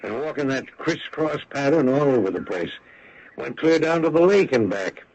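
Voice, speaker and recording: Man acting a lively, creaky-voiced needling investigator. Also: mid-range voice, moderate pace, lo-fi sound, lightly acted. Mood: brisk, wry. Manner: pointed, folksy, clipped. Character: confident, needling man goading a sheriff. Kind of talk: 1970s-80s radio drama acting. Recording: old radio recording with faint noise.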